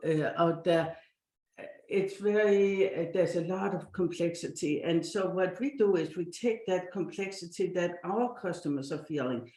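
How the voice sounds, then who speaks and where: A middle-aged woman from Denmark with a Danish accent, answering interview questions conversationally in halting, thoughtful phrases. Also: lowish voice, a little mellow, echoey room, rough recording. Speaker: podcast guest, company chief executive.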